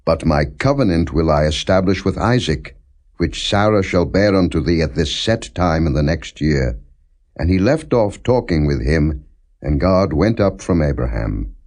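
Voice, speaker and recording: A man reading scripture as non-dramatized audiobook narration, in a solemn, measured, declarative way. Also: a bass voice, animated, a little mellow. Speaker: Bible reader.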